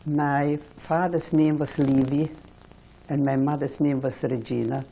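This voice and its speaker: A Hungarian-born woman in her eighties, recalling her life slowly and haltingly in an oral history interview. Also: low-pitched, steady tone, gravelly, warm tone, a little hiss or hum, low-quality recording. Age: 80s. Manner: slow, halting recollection with restarts.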